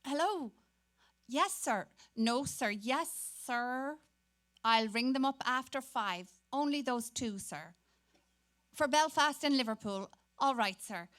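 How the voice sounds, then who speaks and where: Slow-talking, gossipy young typist. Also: female voice, high-pitched, expressive, fairly bright tone, exaggerated voice. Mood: dutiful. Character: bored, gossipy young Dublin typist, dutiful on telephone. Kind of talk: dramatic literary reading on stage.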